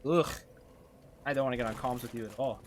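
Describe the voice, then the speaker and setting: A man's voice: mid-range voice, a little fast, noisy background. A man with a Canadian accent giving casual live gameplay commentary, rambling and slangy as he thinks aloud. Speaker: game streamer.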